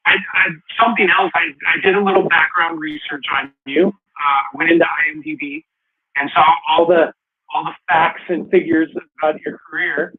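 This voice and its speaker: A man's voice: a little high, steady tone, moderate pace, narrow, tinny audio. A man hosting an interview, asking long, rambling questions that are full of restarts. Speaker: talk show host. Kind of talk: casual interview.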